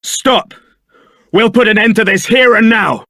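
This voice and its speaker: A man's voice: high voice, measured pace, pushed and bright, no room sound, exaggerated voice. A man playing a suave, sardonic young templar knight and roguish ladies' man in a fantasy video game, with a dry, theatrical delivery. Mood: defiant.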